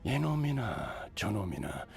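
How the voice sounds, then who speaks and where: An actor playing a stern, aloof male guide who speaks in a deep, measured and curt way. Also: very animated, creaky, over music. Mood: calm.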